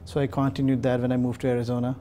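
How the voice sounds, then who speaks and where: Mellow-voiced man explaining with many fillers. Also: medium pitch, completely flat delivery, a little hiss or hum. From Pakistan. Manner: measured, explanatory, frequent uh and um fillers. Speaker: urologist guest. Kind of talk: studio interview answers. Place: television studio set. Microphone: lapel mic.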